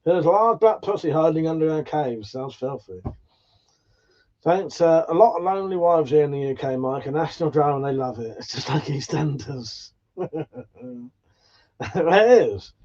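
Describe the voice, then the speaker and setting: A man's voice: on the high side, lively intonation, normal speed, a little mellow, dull audio. A man from England, hosting a casual livestream, reading out chat comments in a sweary, jokey way with frequent laughs. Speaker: livestream host.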